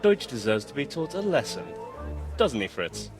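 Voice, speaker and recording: A man's voice: mid-range voice, sing-song, moderate pace, music in the background, close to an everyday voice. A man playing a mischievous young ringleader, sly and casually coaxing, in a video game cutscene. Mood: scheming. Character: cocky young troublemaker, mischievous ringleader among friends.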